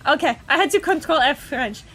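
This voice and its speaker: A woman streaming, chatting casually and excitably as she reacts to game trailers with friends. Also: high voice, a little fast. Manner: excitable, chatty, bursts into squeals. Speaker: streamer host.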